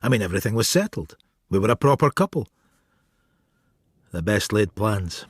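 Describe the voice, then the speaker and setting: An actor playing a world-weary, cynical detective who narrates his thoughts in a wry, brooding radio drama style, with a husky voice. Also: lowish voice, very animated, normal speed, dry recording, studio quality.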